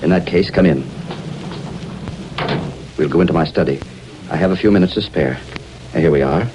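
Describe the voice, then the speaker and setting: A stern veteran judge in an old radio play, acted by a man. He speaks formally and indignantly in a fairly low voice, over the background noise of an aged broadcast. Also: moderate pace, highs cut off, lightly acted. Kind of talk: 1930s-40s radio drama acting.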